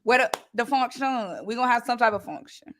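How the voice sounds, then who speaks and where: Bright, forceful American woman, casual chat. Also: a little high, brisk, slight background noise. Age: 30s. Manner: slangy, teasing. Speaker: sports talk show co-host.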